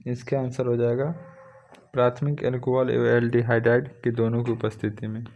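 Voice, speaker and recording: A man's voice: mid-range voice, warm tone. A man working as an exam coaching teacher, methodically reading practice questions aloud and then stating each answer.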